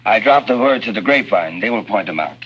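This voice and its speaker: An actor playing an earnest, cautious miner who runs an underground operation, formal and measured, in an early 1950s spy drama. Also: male voice, lowish voice, animated, muffled recording, lightly acted. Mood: grave. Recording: noisy vintage 1950s soundtrack.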